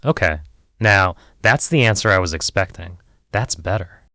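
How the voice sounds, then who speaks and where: A man's voice: deep voice, animated, moderate pace, pushed and bright, no echo, studio quality. A man playing a candid, self-doubting filmmaker in therapy in an audio play, hesitant, rambling and wry. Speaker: therapy client. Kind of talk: conversational audio play acting.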